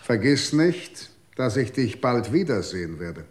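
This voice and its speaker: A stern, solemn authority. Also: male voice, mid-range voice, sing-song, measured pace. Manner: solemn, commanding recitation. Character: stern man administering a solemn vow. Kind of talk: radio drama acting.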